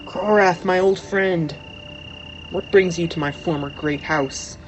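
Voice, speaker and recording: A woman's voice: on the low side, slow pace, soft background music. A woman playing a proud, blunt Klingon noblewoman in an audio drama, with an imperious, formal manner. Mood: challenging.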